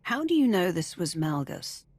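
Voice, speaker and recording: A decisive, ruthless dark-side warrior in a sci-fi game. Her voice is rough and fairly low, moving animatedly in pitch. It is recorded dry and she sounds in command. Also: big pitch swings, moderate pace, no echo. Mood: wary, decisive. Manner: clipped, commanding. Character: ruthless, decisive female Sith warrior leader. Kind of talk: video game cutscene acting.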